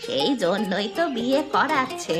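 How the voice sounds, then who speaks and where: A woman voicing a kindly, motherly old village granny who scolds fondly in an animated moral story. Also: mid-range voice, moderate pace, music in the background, close to an everyday voice. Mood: reassuring. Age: older.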